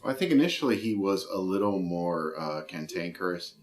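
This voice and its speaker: A man from a film's effects team giving relaxed, thoughtful interview answers. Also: sits low, moderate pace.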